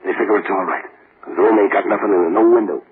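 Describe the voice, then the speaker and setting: An actor playing a streetwise death-row convict who talks in tough slang, in old radio drama style. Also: male voice, sits low, rapid-fire, husky. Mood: scheming. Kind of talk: 1940s radio acting. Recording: tinny hissy old radio broadcast.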